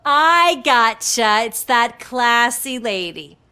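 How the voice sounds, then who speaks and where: Cheeky female desk clerk for a game, bright and lively. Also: a little high, takes it slowly, clean tone, exaggerated voice. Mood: teasing. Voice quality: teasing, cheeky. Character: flirty, sassy hotel receptionist woman. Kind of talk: video game dialogue.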